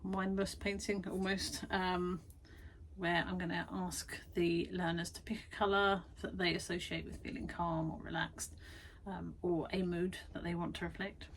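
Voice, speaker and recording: A woman's voice: lowish voice, flat delivery, moderate pace, slight background noise. A woman vlogging from her garden shed studio, chatty and self-deprecating, tired after busy weeks. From United Kingdom. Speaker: vlogger and art workshop tutor. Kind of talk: casual chatty vlog.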